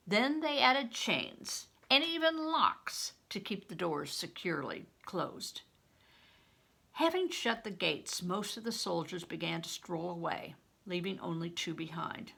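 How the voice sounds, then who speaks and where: An older woman reads a storybook aloud at an unhurried pace. Her voice is bright and gravelly, and she leans into the drama with emphatic delivery. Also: on the low side. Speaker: retired reading teacher reading aloud. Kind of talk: expressive storybook read-aloud.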